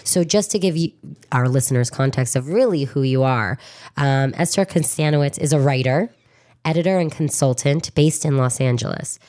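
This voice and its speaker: A woman's voice: a bass voice, normal speed, darkish tone. A woman hosting a radio interview in a chatty, informal, effusive way, often breaking off mid-thought, recorded in a studio. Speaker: radio show host. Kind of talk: chatty interview, partly reading a bio.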